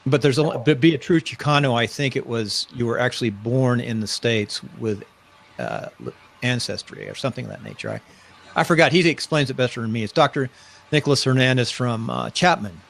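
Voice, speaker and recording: A man's voice: medium pitch, brisk, vocal fry, a bit bright. A middle-aged man hosting a casual live-stream interview, chatty and rambling, often restarting his sentences. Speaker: host.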